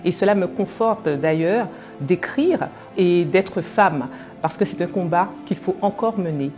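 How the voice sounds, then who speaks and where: A woman's voice: lowish voice, measured pace, a little mellow, faint music behind. A woman writer speaking in a composed, earnest way in an interview. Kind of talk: reflective interview testimony.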